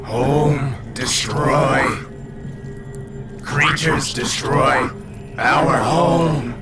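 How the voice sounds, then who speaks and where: A man doing a slow broken-speech alien creature. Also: medium pitch, pitched above the performer's own voice. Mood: pleading. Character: desperate glowing cell-like alien creature. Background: background music.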